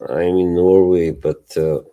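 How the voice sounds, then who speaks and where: Middle-aged Bosnian-accented man, very low mellow voice, unhurried livestream co-host. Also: steady tone, muffled recording. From Bosnia and Herzegovina. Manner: laid-back, chuckles often, trailing filler words. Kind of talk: casual chat.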